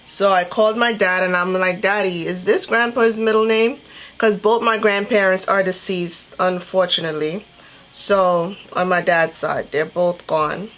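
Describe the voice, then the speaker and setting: A woman from Trinidad and Tobago chatting casually as a beauty vlogger, telling a personal story in an animated, conversational way. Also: lowish voice, a little slow. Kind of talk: casual chatty storytelling while doing makeup.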